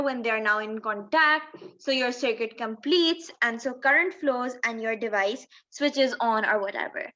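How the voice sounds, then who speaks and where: A woman's voice: on the high side, pushed and bright. A woman teaching in an explanatory tutorial style, going step by step and posing questions as she explains. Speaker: physics teacher. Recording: dull lo-fi microphone sound.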